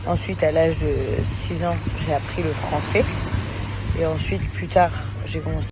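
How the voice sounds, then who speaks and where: A woman's voice: deep voice, fairly even intonation, measured pace. Casting: woman, native english speaker, rambling vlog talk, heavy car and street noise behind. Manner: rambling, many fillers and restarts. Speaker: influencer. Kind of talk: casual monologue to followers.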